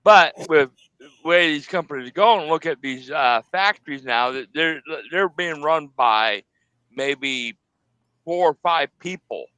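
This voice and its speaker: Bright, insistent man in a podcast chat. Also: on the high side, animated, unhurried, smooth, dry recording, low-quality recording. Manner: insistent, blunt. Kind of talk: casual chat.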